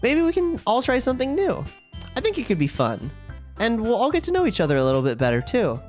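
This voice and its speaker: A teenage girl from a visual novel read by a woman, sweet and gushing, with an eerily devoted streak. Her pitch moves around freely, and music plays softly behind her. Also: huge pitch swings, fast talker. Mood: cheerful. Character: cheerful teenage girl club president turned eerily devoted.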